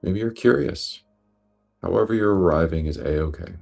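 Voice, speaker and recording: Super deep voiced guy leading breathwork, gentle and slow, lots of fry, music behind him. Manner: gentle, unhurried, reassuring. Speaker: meditation and breathwork guide. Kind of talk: guided meditation instruction.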